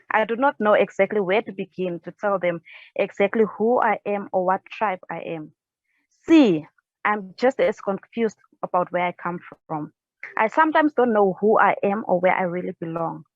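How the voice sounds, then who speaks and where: A young woman from South Africa reciting her own spoken word poem at home on a couch, earnest and halting, with restarts, into an earphone mic. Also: medium pitch, animated, dry recording. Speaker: poet. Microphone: earphone headset mic. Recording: dry, dull lo-fi sound.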